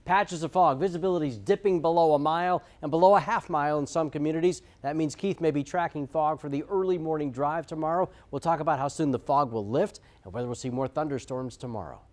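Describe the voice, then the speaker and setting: A man's voice: on the high side, fast talker, few pauses, a little mellow. A man with an American accent giving an ad-libbed TV weather report as the forecaster.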